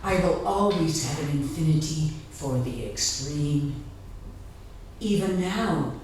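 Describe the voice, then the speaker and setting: A woman giving a dramatic literary reading of her own writing, theatrical and deliberate, with long pauses between phrases. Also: low-pitched, a little mellow. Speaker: author reading from own memoir. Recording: echoey room, distant mic, faint background noise.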